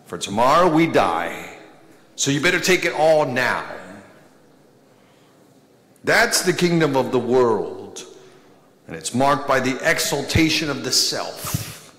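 A man in his thirties gives a sermon at a church pulpit, pausing for emphasis between short phrases. His speech is unhurried and weighty. The sound carries a roomy echo with a long church reverb trailing each line. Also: on the high side, a bit bright. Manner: slow, deliberate preaching with emphatic pauses and gestures. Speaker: priest. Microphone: pulpit microphone.

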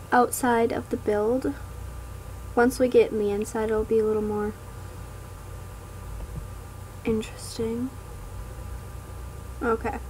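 A woman casually narrating her gameplay voiceover, a bit hoarse from a coming cold, hesitant with many ums and trailing off. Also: a little high, darkish tone, a little hiss or hum, rough recording. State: hoarse, getting sick. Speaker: gaming vlogger.

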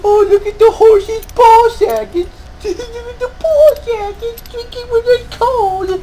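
A woman's voice: extremely high pitch, slow, warm tone, voice pushed up. A woman voicing a childish, mindless game fan, gushing with babyish mock awe. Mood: mock delight. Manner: babyish, squealing, mock-awestruck.

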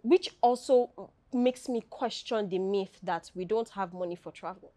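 A Nigerian woman with a Nigerian accent, hosting a podcast and chatting in a lively, joking way with her guest. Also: mid-range voice, normal speed. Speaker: podcast host. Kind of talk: casual conversational interview.

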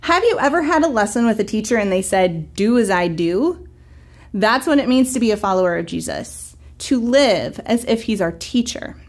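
A woman in her thirties teaching a children's church lesson to camera, gentle, clear and encouraging. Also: mid-range voice, expressive, normal speed.

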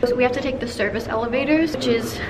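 A woman's voice: on the high side, fast, noisy background. A young woman in her twenties chatting casually to a handheld camera, bubbly and rambling with lots of fillers. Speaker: vlogger. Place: hotel room and busy convention grounds. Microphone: handheld camera at arm's length.

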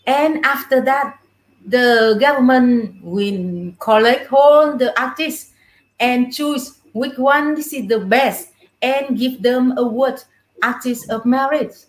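Slow-speaking Vietnamese woman, echoey room. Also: high voice. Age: middle-aged. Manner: simple, non-native English phrasing. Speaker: guest, puppeteer. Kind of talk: conversational interview answers. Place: small indoor room with puppets. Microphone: video call, device at a distance. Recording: echoey room over video call.

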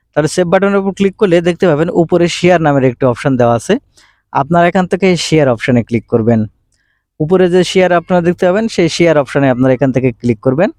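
Fast-talking man narrating a tutorial, mellow tone. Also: on the high side, no room sound. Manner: repetitive, instructional phrasing. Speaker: tech tutorial presenter. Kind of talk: step-by-step screen tutorial narration.

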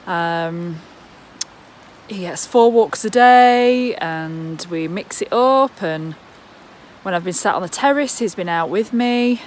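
A woman's voice: mid-range voice, lively intonation, takes it slowly, a little hiss or hum. A woman, talking worriedly into a phone held at arm's length in a casual vlog. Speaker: vlogger. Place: outdoors in the countryside and indoors at home.

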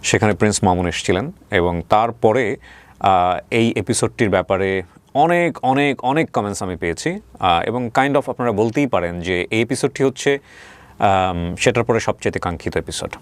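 A man's voice: fairly low pitch, expressive, normal speed, dry recording. A man hosting an interview show, speaking politely and formally and mixing English words into his talk. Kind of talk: interview hosting, addressing viewers.

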